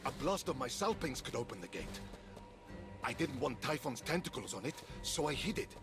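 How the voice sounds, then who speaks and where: Blustering, gravelly bird-like war god. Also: male voice, medium pitch, sing-song, moderate pace, music in the background. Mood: indignant. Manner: pompous, dramatic, self-pitying. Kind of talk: animated video game character acting.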